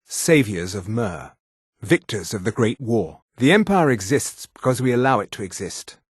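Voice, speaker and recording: An actor voicing a haughty, sneering high elf official in a fantasy video game, speaking in a pompous, condescending, precise manner. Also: male voice, very animated, moderate pace, breathy edge, dry recording, studio quality. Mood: haughty, proud. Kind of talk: scripted fantasy game dialogue.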